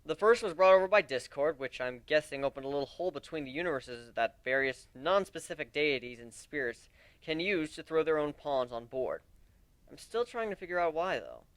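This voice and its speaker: An earnest, nerdy young griffin, played by a man in a dramatic story reading. He rambles in a high, very animated voice, full of self-deprecation. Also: fairly quick, clean tone, no room sound. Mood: wry.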